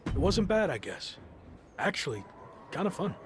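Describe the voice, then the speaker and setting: Wry, easygoing young wrestler. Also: male voice, high voice, big pitch swings, normal speed, background noise. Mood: grudgingly amused. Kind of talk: video game cutscene acting.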